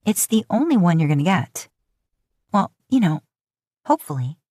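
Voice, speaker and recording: A woman voicing a kind, reassuring young woman in an audiobook story, soft-hearted and putting others first. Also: fairly low pitch, very animated, normal speed, dry recording, studio quality. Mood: tender, teasing.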